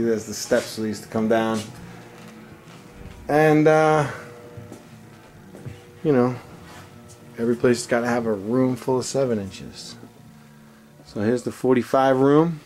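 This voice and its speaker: A man casually narrating a handheld walking tour of a big record store, talking excitedly to his viewers. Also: medium pitch. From New York. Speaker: vlogger touring record stores. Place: large indoor record store. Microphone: handheld camera mic. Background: store music playing.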